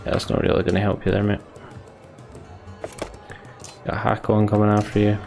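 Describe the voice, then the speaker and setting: A man's voice: lowish voice, completely flat delivery, normal speed, gravelly, a little mellow, faint music behind. A man hosting a let's play, commenting on the game and reading its story text aloud as narration.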